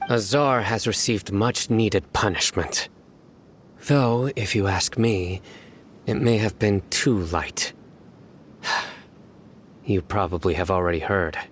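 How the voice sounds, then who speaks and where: Low, stern, reserved officer. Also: male voice, slight background noise. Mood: stern, businesslike. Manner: serious, terse, measured, deadpan. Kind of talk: video game dialogue acting.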